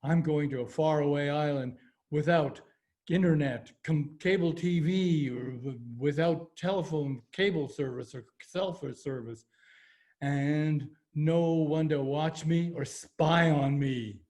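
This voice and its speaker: A man's voice: on the high side, unhurried, a little mellow, natural voice. Man reading a grumpy, exasperated pizza customer. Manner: indignant, blustering complaints. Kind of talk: amateur readers theater over video call.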